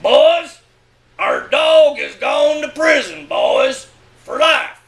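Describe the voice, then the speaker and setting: A man's voice: extremely high pitch, big pitch swings, takes it slowly, creaky, fairly bright tone, roomy sound, exaggerated voice. A man reading a story as a lonely old man who is plaintive, emphatic and close to tears. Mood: distraught. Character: lonely, distraught old man, nearly crying.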